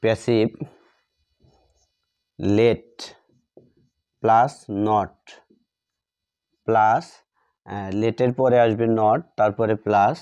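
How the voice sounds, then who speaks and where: A man's voice: lowish voice, fairly even intonation, drawn-out words, pauses often, darkish tone, clear, resonant tone, no room sound, dull audio. A man with a Bengali accent teaching grammar like a classroom teacher, repeating phrases step by step as if dictating while he writes. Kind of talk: classroom lesson, explaining while writing out examples.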